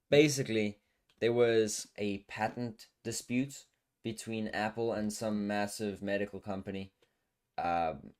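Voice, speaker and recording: A man hosting a podcast in a casual, conversational style. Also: lowish voice. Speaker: podcast host. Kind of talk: casual chat.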